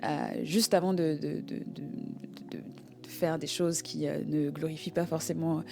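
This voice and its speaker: Woman with lowish voice, haltingly sharing testimony. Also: little pitch movement, creaky, dark tone. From Congo. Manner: halting, searching for words, repeating herself. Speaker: guest giving a testimony.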